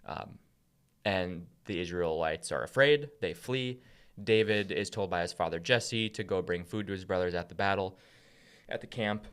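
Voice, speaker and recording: Low-voiced man explaining on a podcast. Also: moderate pace, a bit bright, clear, resonant tone. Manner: informal storytelling, paraphrasing in everyday words. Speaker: podcast co-host. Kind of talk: casual explanatory Bible podcast talk.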